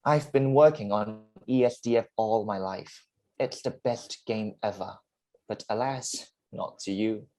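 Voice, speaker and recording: A man's voice: on the low side, unhurried, darkish tone, natural voice. A man playing a regretful, self-doubting middle-aged father and game developer in staged reader's theatre, confessional and earnest. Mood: bitter confession.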